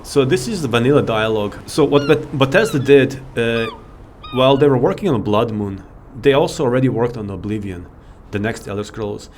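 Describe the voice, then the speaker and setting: A man's voice: mid-range voice, brisk, a little mellow, a little hiss or hum. A man giving rambling, informal and enthusiastic commentary as he hosts a video game showcase. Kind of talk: casual game walkthrough commentary. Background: game music.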